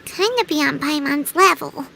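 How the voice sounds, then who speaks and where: A woman voicing a tiny, childlike, naive fairy companion in a game, squeaky and plain-spoken, with some noise behind her. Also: lively intonation, measured pace, gravelly, bright, forceful tone, full character voice. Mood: bewildered. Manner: childish, speaks of self in third person.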